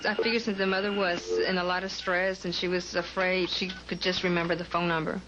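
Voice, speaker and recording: A woman's voice: fairly low pitch, flat delivery, fairly quick, highs cut off. An American woman, a dispatcher, recounting a call in a documentary interview. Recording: noisy interview audio.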